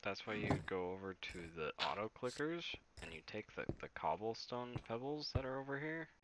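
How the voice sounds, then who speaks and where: A man's voice: mid-range voice, sing-song, brisk, background noise. A man explaining game steps to friends in casual chat over a headset mic. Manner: explaining, laughs often. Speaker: gamer.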